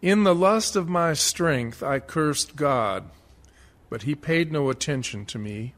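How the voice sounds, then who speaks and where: A man playing a dead blasphemer, defiant yet humbled, in a deliberate, earnest radio-play monologue. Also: fairly high pitch, lively intonation, fairly bright tone, heavily acted.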